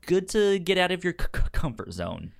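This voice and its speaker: A man co-hosting a casual podcast, with a strongly animated pitch range. He has a pronounced stutter, often repeating opening sounds and syllables as he chats or reads aloud. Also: brisk, creaky. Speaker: podcast co-host. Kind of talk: casual podcast chat, reading synopses aloud.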